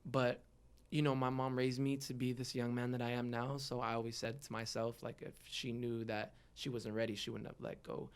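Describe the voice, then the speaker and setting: A man's voice: mid-range voice, flat delivery, normal speed, hardly any pauses, clean tone. A man in his early twenties talking openly and reflectively as a guest in a casual podcast interview, often adding "you know". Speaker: guest, rapper.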